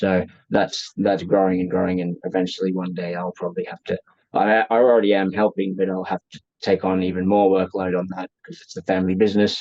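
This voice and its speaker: A man's voice: sits low, monotone, fast, gravelly, warm tone, no room sound. A young man, chatting easily as an interview guest, rambling with restarts, on a dull lo-fi recording. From Australia. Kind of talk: casual conversation.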